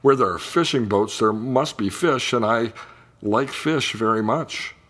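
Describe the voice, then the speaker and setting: A man's voice: medium pitch, unhurried, a bit bright. A man voicing a tough, boastful little female cat in a children's storybook. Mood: pleased. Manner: boastful, self-assured.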